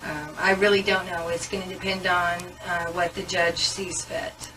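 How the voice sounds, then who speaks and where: A woman's voice: lowish voice, little pitch movement, normal speed. A woman detective running a booking interview in a matter-of-fact, procedural way. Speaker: police detective. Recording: distant mic with music overlaid.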